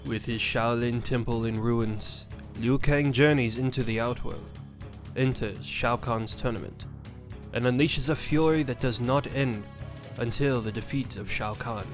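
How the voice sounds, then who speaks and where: Mid-pitched man, dramatic narration over music.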